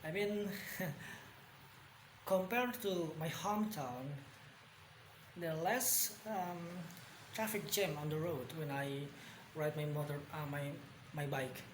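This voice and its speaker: Young Indonesian-accented man, casual vlogger, slow and halting, recorded in a roomy, noisy space. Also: on the high side. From Bandung, West Java, Indonesia. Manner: hesitant, many ums and pauses. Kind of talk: casual spoken vlog. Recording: echoey room with background noise.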